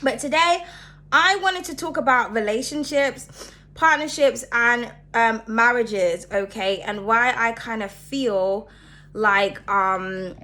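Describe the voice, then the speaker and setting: A woman's voice: fairly high pitch, slow pace, sharp tone. A young woman hosting her own channel, talking casually and rambling, sniffly from hay fever and often tagging "okay?" onto her points. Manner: chatty, rambling, keeps asking "okay?". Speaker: channel host. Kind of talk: casual solo talk to camera.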